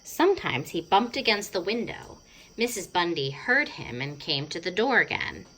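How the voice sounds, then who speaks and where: A woman's voice: lowish voice, big pitch swings, normal speed, sharp tone, a little hiss or hum, poor audio. A woman narrating a children's storybook expressively, stretching words for dramatic effect. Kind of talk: expressive children's read-aloud.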